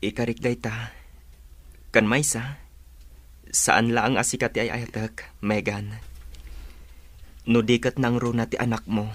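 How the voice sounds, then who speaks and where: An actor playing an earnest, devoted young suitor in a radio drama. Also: male voice, medium pitch, little pitch movement, a little slow, pauses often, a bit bright, dry recording. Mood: earnest, tender.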